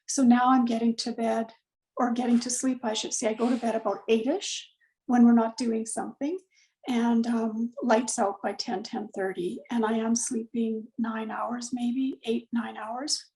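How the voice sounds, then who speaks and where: A woman with a gravelly voice talking casually over a video call, full of fillers and self-corrections. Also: a little high, flat delivery, measured pace, darkish tone, echoey room, low-quality recording. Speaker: client giving a testimonial. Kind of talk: casual conversational testimonial.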